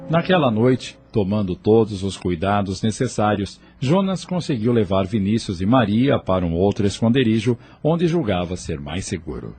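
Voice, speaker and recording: A man reading scripted narration for an audio drama in a measured storytelling manner. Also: lowish voice, sing-song, a little mellow, a little hiss or hum.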